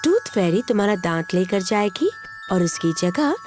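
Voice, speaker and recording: A woman voicing a gentle, reassuring mummy pig, a patient mother in a children's cartoon. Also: medium pitch, big pitch swings, moderate pace, music in the background, lightly acted. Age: adult. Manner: soothing, explanatory. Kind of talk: cartoon dubbing.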